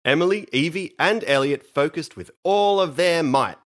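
A man narrating a children's story in an expressive, playful style. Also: fairly high pitch, moderate pace.

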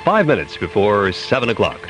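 A man's voice: lowish voice, big pitch swings, brisk. An American man anchoring a live radio news program. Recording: old radio broadcast with music bleed.

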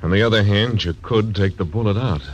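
An actor playing a laconic, stubborn frontier marshal who narrates, in 1940s radio acting. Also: male voice, on the low side, brisk, slight background noise, natural voice. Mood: steady. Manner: terse, dry. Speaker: narrator and lead. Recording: old radio recording with music.

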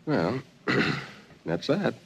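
A man's voice: medium pitch, sing-song, drawn-out words, vocal fry, slight background noise, full character voice. An actor playing a grave, earnest professor in a vintage radio drama, urgent and sometimes hesitant in his delivery. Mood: grim, agitated. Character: earnest, grave male professor standing against an invader. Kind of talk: 1950s radio drama acting.

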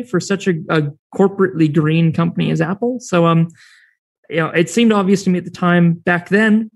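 A man's voice: a little high, a little mellow. A man with an American accent, a podcast network founder, chatting casually as a guest and rambling with restarts. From New Zealand and United States. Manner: rambling, many fillers and restarts, laughs easily. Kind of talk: casual interview chat.

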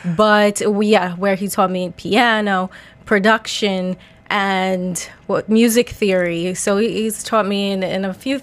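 A woman with an American accent and a medium-pitched voice. She talks casually as a music guest in a radio interview, in a studio setting. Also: steady tone, unhurried. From Long Island, New York, United States.

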